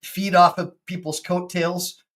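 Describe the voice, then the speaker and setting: Excitable man in his fifties, stammering. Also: high voice, a little slow. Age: 50s. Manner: excitable, stammering restarts, frequent laughs. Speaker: personal injury attorney and show host. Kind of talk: casual video-call chat.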